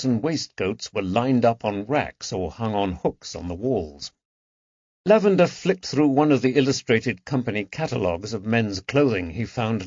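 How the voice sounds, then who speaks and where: A man's voice: mid-range voice, a little mellow, no echo. Guy narrating a historical mystery audiobook, scripted read, dry close sound, bit muffled and lo-fi.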